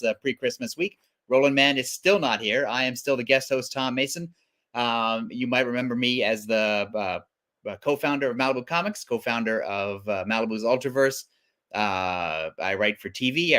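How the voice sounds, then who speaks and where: A man's voice: medium pitch, bright, forceful tone. Casual, wry man hosting a stream. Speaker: guest host of a comics stream. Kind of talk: casual live-show hosting.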